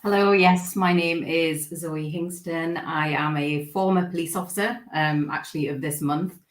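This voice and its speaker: A woman from Sri Lanka, a former police officer, speaking on a panel in a measured way with frequent ums. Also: deep voice, fairly even intonation, reverberant. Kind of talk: panel talk.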